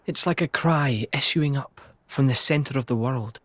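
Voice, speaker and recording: Grave worried king man acting, husky mid voice, formal, dry game audio. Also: medium pitch, a bit bright, dry recording, close to an everyday voice. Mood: somber. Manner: formal, measured. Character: grave, thoughtful male monarch burdened with worry. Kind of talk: dubbed video game cutscene acting.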